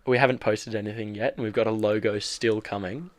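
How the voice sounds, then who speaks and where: A man's voice: on the low side, fairly even intonation, a little fast, no room sound. Cheeky young Australian man, dry close sound. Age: young adult. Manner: cheeky, hyped, swearing. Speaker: podcast co-host. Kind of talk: casual chat.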